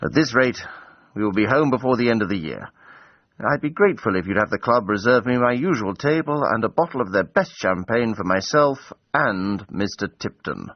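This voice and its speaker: An actor playing a breezy, self-regarding English gentleman adventurer in a period radio drama, urbane and wry, with formal old-fashioned phrasing. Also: on the low side, lively intonation, normal speed, dry recording. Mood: wry, composed. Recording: dull, band-limited broadcast audio.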